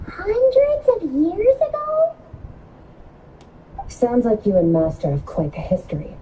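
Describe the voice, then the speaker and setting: A woman's voice: very high-pitched, wildly swooping pitch, a little slow, warm tone, a little hiss or hum, heavily acted, rough recording. An actress playing a cool, blunt female warrior disciple in a video game, speaking in a dry, direct way. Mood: curious, dry. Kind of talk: dramatic video game story dialogue.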